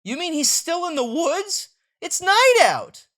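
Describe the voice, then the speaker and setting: A woman voicing an excitable, stubborn young boy in a comic strip read aloud, with a dramatic, exclamatory manner. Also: very high-pitched, unhurried, pitched above the performer's own voice, exaggerated voice. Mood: frantic. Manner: dramatic, exclamatory, whiny when upset.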